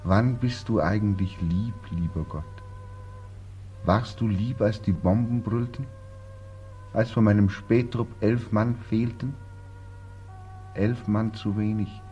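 An actor playing a bitter, exhausted, despairing young soldier home from war in a 1940s German radio drama, with a weary, brooding delivery. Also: male voice, on the low side, a little mellow. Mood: bitter, accusing. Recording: muffled 1940s radio recording, faint music.

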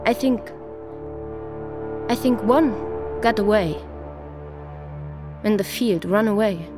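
A woman playing a Fuegian native who gives testimony in halting broken English in a radio play. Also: mid-range voice, lightly acted. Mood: halting, testifying. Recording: voice over prominent music bed. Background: music.

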